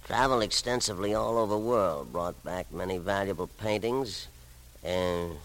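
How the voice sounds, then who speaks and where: An actor playing a pedantic, unhurried newspaper file clerk, deadpan and muttering, in a 1940s radio drama. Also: male voice, sits low. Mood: deadpan, absentminded. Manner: deadpan, muttering as reads, fussy. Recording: faintly noisy vintage radio recording.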